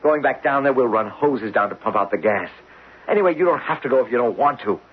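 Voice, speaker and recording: An American actor in a 1970s radio drama, playing an earnest, desperate geologist who pleads insistently in a husky voice. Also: male voice, medium pitch, lively intonation, quick pace. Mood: agitated. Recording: muffled lo-fi 1970s radio recording.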